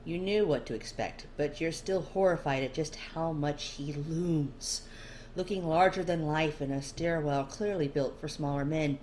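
A woman's voice: deep voice, unhurried, darkish tone, low-quality recording. A woman narrating a scripted story in the second person, as an amateur reader of fiction. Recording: clear background noise.

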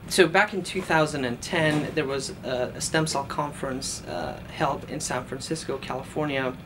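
A man's voice: mid-range voice, noisy background. Earnest Iranian-born man, thoughtful with fillers. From Iran. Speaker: postdoctoral researcher. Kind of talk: documentary interview. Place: office cubicle in a research lab.

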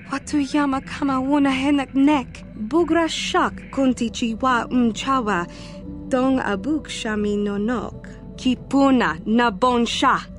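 An actress playing a stern alien warrior woman who speaks an invented alien tongue in video game dialogue. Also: on the high side. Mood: measured, stern.

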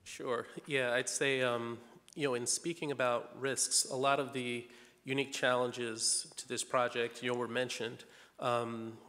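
A man's voice: medium pitch, flat delivery, unhurried, bright, forceful tone. A man from a bank speaking on a panel in an auditorium, saying "you know" constantly. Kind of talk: panel discussion answer. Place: large auditorium. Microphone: table mic. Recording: long hall reverb.

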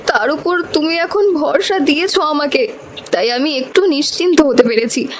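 A woman's voice: high voice, normal speed, a little hiss or hum, natural voice. A woman voicing an emotional, determined village wife in a melodramatic cartoon. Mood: anxious, relieved.

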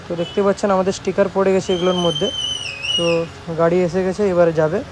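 A man's voice: sits high, little pitch movement, racing, background noise. A man casually showing off handmade products for a vlog, repeating himself and often checking that viewers follow. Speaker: speaker maker presenting shop's work.

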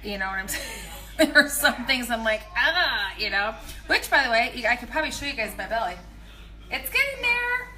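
A woman's voice: a little high, normal speed, poor audio. A pregnant woman in her thirties, a nutrition coach, chatting casually and rambling through meal prep tips in her home kitchen, filmed on a phone held at arm's length. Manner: chatty, rambling, animated asides. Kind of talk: casual live video tips.

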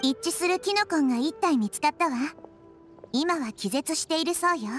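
A woman's voice: sits high, lively intonation, normal speed. A woman voicing a kind, wise little nature goddess in an anime game, girlish yet composed, with refined feminine phrasing. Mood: calm, attentive. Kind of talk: Japanese anime game voice acting. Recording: game music behind.

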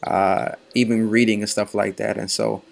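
A young man in casual voice-over, explaining his hair care in a relaxed, rambling way with many pauses. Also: lowish voice, creaky, a little hiss or hum.

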